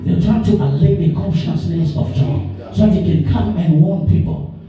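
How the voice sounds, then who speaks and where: A man's voice: sits high, moderate pace, dark tone. A man preaching a sermon, building repeated declarations that rise in force. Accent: African English. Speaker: pastor. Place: church hall. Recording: echoey hall from far off with music.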